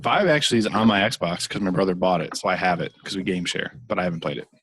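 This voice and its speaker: A man's voice: sits low, fast, fairly bright tone. A man co-hosting a casual podcast, chatting with friends and swearing freely. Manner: laid-back, swears freely. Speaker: podcast co-host. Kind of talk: casual chat among friends.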